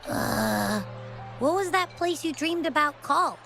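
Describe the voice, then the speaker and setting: Bubbly, always-hungry game heroine. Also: female voice, high voice, lively intonation, a little slow, a bit bright, exaggerated voice. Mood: curious. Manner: bubbly, playful, boastful. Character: cheerful food-loving young woman companion. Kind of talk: video game cutscene voice acting. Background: faint game music.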